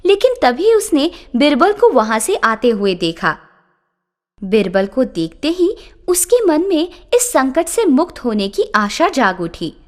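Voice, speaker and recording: Lively high-voiced woman storyteller. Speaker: narrator. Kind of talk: scripted children's story narration. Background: background music.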